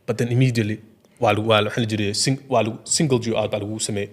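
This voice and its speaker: Very fast-talking man in discussion. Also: on the low side, steady tone. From Ogaden region. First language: Somali. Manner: repeats filler phrases, switches between Somali and English. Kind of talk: impassioned casual discussion.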